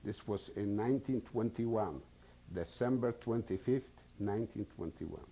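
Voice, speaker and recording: A man in his seventies from Poland with a Polish accent, giving oral history testimony slowly and hesitantly, often searching for English words. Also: fairly low pitch, gravelly, dark tone, slightly rough. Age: 70s. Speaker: interviewee.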